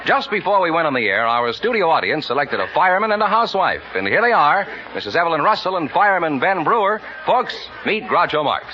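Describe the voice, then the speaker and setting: A man's voice: a little high, big pitch swings, fast, few pauses, sharp tone. A man announcing for a 1950s radio show in a polished, projecting salesman's delivery. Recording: dull old radio recording, faint noise.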